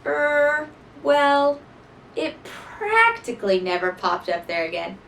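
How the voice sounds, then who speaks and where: A woman reading a rhyming children's story aloud in an expressive, theatrical style. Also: sits high, slow pace, vocal fry, slight background noise. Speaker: storybook reader. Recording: voice somewhat distant from mic.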